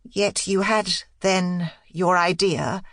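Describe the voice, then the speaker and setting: A woman's voice: lowish voice, steady tone, slow pace, a bit bright, dry recording, clean studio sound. A woman voicing an intense, determined young governess in an audiobook, speaking in emphatic, broken phrases with urgent insistence. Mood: grave. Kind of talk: audiobook character dialogue.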